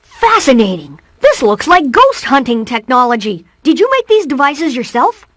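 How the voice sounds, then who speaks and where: A bookish little boy inventor, high and very animated. He is precise and curious in a cartoon game, recorded dry with faint music underneath. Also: normal speed, no echo, full character voice. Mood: fascinated. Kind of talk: cartoon video game acting.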